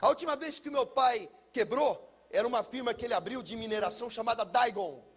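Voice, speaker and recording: A Brazilian man speaking as a pastor, telling a personal testimony in an emphatic, theatrical way with deliberate repetition. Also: extremely high pitch, a bit bright, slightly rough. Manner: emphatic, theatrical, uses pauses and repetition for effect. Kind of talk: personal testimony, dramatic storytelling to listeners.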